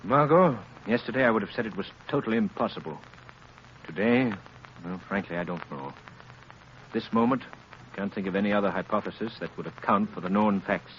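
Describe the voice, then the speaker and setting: An actor playing a suave, self-assured gentleman sleuth, measured and dryly teasing, in 1930s radio style. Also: on the low side, natural voice. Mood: grave. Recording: noisy, muffled 1930s radio recording.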